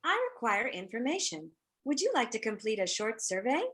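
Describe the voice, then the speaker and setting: Woman voicing a bright, raspy, girlish alien creature. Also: fairly high pitch, very animated, brisk, heavily acted. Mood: inquisitive, officious. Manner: formulaic, survey-taker phrasing. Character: floating alien medical creature, girlish, robotically inquisitive. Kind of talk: animated comedy script table read.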